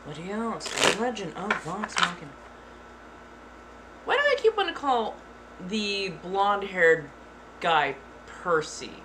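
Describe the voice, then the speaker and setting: A woman streamer chatting casually with her viewers, blunt and frank, keeping her voice deliberately flat and dropping the odd swear. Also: medium pitch. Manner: blunt, deliberately monotone delivery. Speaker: streamer answering chat. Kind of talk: casual live-stream chat. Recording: clear background noise.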